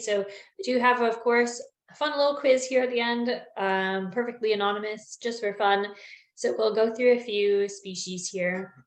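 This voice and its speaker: Young woman, mid-pitched, friendly teacher of bird identification on a webinar, lots of ums, a little hoarse, echoey room. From Newfoundland. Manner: friendly, explanatory, many ums. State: losing her voice, clearing throat. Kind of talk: online webinar lesson. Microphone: video call. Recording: echoey room on a video call.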